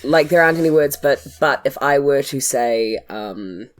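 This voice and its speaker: A woman's voice: very deep voice, expressive, moderate pace, soft background music. A woman with a New Zealand accent, a tabletop game player, asking tentative questions in casual table talk.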